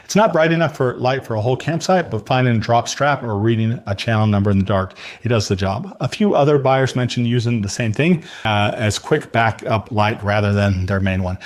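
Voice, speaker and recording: A man delivering a scripted product review countdown in a salesy manner, speaking directly to the viewer. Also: medium pitch.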